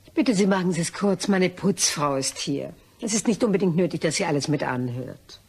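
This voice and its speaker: Lady playing blackmailed woman in an audio crime play, proud and upset, husky voice, very animated. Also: on the low side, fairly quick. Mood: anguished, indignant. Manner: expressive, indignant. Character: distressed young-ish woman being blackmailed, proud and indignant. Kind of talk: German crime radio play acting.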